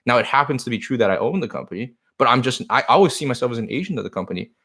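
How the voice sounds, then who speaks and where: A man's voice: mid-range voice, animated, racing, a bit bright. A man whose first language is Chinese, owner of a card shop, talking earnestly on a live stream and answering viewer comments in a rambling way, often repeating himself.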